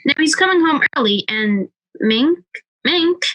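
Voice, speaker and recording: A woman's voice: high voice, lively intonation, measured pace, a bit bright, highs cut off. A woman playing an anxious suburban mother who hides her unease, in a hesitant, nervous manner, in an amateur radio drama. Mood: brisk, motherly.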